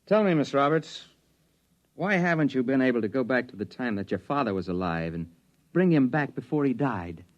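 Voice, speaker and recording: A man's voice: medium pitch, animated, moderate pace, close to an everyday voice. An American actor playing a wry, plainspoken everyman time traveler in a 1950s radio drama. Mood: hungry, wry. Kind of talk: 1950s radio drama acting, narration and dialogue. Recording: dull vintage 1950s radio recording.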